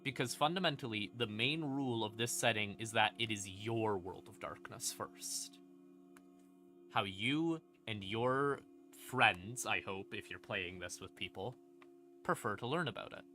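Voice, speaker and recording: A male streamer with a bright, punchy voice explains game lore in a casual, conversational way. He leans on words for dramatic emphasis and drops in informal asides and swearing. Faint creepy ambient music plays behind him. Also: lowish voice, animated, moderate pace. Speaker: streamer, host.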